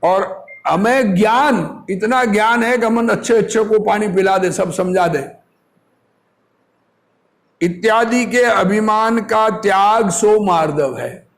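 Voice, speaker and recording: High-pitched man giving a slow religious discourse. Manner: didactic, asks rhetorical questions and answers them. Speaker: religious teacher reading and explaining scripture. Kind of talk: religious discourse, reading aloud with commentary.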